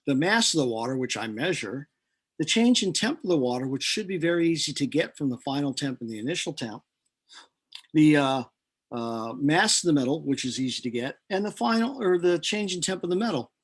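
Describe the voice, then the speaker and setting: A man giving an informal, deliberate lecture as a chemistry teacher, working through equations step by step. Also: fairly high pitch, expressive, husky.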